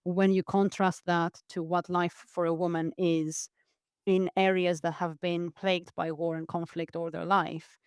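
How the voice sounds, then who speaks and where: A woman's voice: lowish voice, little pitch movement, a little slow, clear, resonant tone, no echo, studio quality. A woman from Greece, a podcast guest, talking earnestly in an interview and often restarting her sentences. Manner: earnest, with frequent restarts and repeated words. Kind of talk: reflective interview talk.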